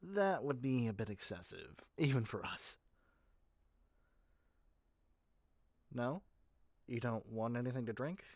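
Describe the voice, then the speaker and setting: A performer plays an overeager salesperson in a scripted ASMR roleplay, talking straight to the listener. The androgynous voice sits at a middling pitch. It rambles breezily through menacing details as if they were nothing, in a dry recording with no room sound. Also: lively intonation, moderate pace, darkish tone, dry recording. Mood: eager, solicitous. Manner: rambling, breezily matter-of-fact about menace. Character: eager, chatty salesperson matchmaking dangerous obsessive lovers.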